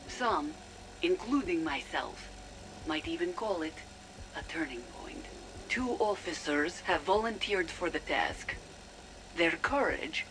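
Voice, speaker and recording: An actress playing a proud, ambitious Soviet research chief in a video game, giving a solemn, formal audio log over a faintly noisy recording. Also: female voice, fairly low pitch, a bit bright. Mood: reverent. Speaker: game character, research lead.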